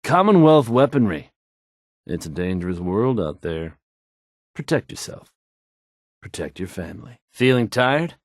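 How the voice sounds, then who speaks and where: An actor playing a polished, confident radio DJ in a video game, with fluent, assured announcer delivery. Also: male voice, lowish voice, big pitch swings, a little slow, pauses often, dry recording, studio quality. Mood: salesmanlike, confident.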